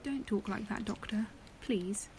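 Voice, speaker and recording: A woman's voice: medium pitch, a little slow, darkish tone, natural voice. A woman playing an eager, clever young companion in an audio drama, speaking in a pert, questioning and insistent way. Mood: anxious, insistent. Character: bright, eager, challenging young female companion.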